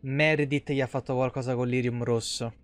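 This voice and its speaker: A man's voice: mid-range voice, fairly even intonation, fairly quick. A young man doing casual, slangy live game commentary with some swearing. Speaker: game streamer. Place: small indoor room, webcam stream.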